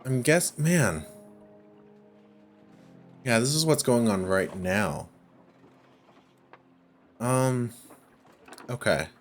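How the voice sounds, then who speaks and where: A man giving casual gameplay commentary, thinking out loud in short, halting phrases. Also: mid-range voice, animated. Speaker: let's play host. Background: music.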